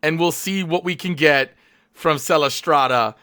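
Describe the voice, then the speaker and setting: Streamer guy, bright punchy voice, all hyped up, lots of um and you know. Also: fairly high pitch, a little slow, clear, resonant tone. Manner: energetic, hyped, lots of fillers and restarts. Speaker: streamer and interviewer. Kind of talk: live stream interview.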